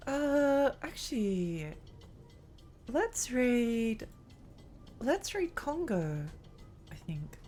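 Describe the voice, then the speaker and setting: A woman's voice: a little high, sing-song, very slow, pauses often, darkish tone, faint music behind. A woman streaming a game live, chatting in a rambling, giggly way while her voice strains and tires. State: strained, tiring voice.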